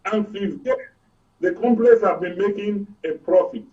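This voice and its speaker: A man's voice: moderate pace, dark tone. A man with a Nigerian accent giving explanatory commentary as an expert guest, in short, emphatic statements. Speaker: oil and gas expert guest. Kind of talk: explanatory political and economic commentary. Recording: distant, dull low-fidelity sound.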